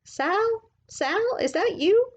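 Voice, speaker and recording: A woman's voice: very high-pitched, animated, takes it slowly, muffled recording, voice pushed up, full character voice. A woman voicing an eccentric old woman who is nosy, playful and a little wicked, in a storybook reading. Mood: calling out, nosy.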